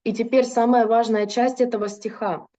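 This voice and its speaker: A woman's voice: a little high, level tone, moderate pace, warm tone, highs cut off. A woman interpreting a religious lecture into Russian, fluent but with occasional hesitations and self-corrections.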